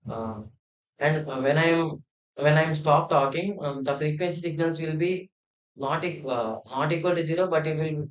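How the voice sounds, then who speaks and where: A man giving a hesitant, unscripted explanation, with many fillers and restarts. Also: fairly high pitch. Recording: roomy, dull lo-fi sound.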